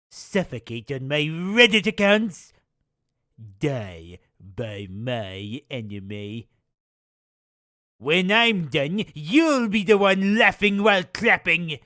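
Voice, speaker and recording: A man's voice: sits high, pushed and bright, dry recording, clean studio sound, exaggerated voice. A man voicing a smug, scheming warlord who taunts his enemy with a heavy put-on foreign accent. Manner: taunting, drawn-out gloating. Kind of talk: comedic game-character voice lines.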